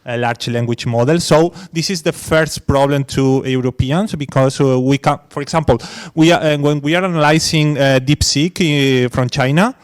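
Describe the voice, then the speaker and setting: A man's voice: fairly high pitch, normal speed, hardly any pauses, clear, resonant tone. A man from Spain, an English speaker, talking on a conference panel with many fillers and restarts. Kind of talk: academic panel discussion.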